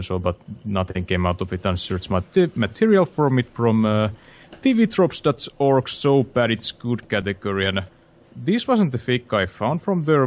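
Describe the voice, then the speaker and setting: Hesitant guy, gravelly, slow, pitch all over. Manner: hesitant, stammering. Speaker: guest. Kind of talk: casual podcast chat.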